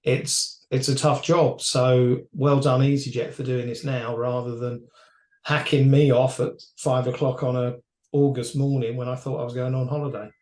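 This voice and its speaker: A man's voice: mid-range voice, flat delivery, moderate pace, a little mellow. A man talking conversationally as an aviation industry expert, opinionated and wry in his delivery. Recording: roomy, echoey sound.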